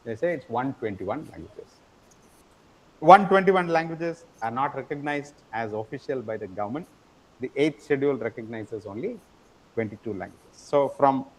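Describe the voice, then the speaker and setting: A man teaching an online class, explaining step by step, often pausing with "uh" and asking "right?" to check in with his students. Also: medium pitch, rough recording. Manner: explanatory, frequent tag question "right?"; repeats words and hesitates with "uh". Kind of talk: online lecture.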